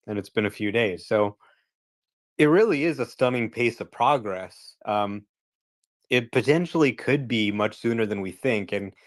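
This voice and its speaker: A man co-hosting a live chat, giving relaxed commentary and thinking aloud with fillers. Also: medium pitch. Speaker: co-host. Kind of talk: casual chat, opinionated commentary.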